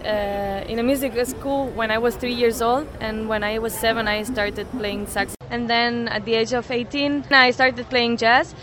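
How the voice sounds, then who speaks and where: A woman in her twenties from Spain, answering interview questions outdoors in halting English with many restarts. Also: fairly high pitch, steady tone. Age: 20s. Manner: halting, restarts often, non-native English. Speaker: interviewee, saxophonist. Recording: noisy street background.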